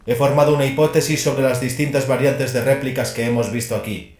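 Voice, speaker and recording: A man puts on a machine-augmented male scholar for an audio drama. He is detached and oddly enthusiastic, talking in halting clinical phrases. The voice is lifted well above a plain male register. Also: medium pitch, steady tone, reverberant, voice pushed up, lightly acted. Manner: halting, clinical, mechanically repeats procedural words.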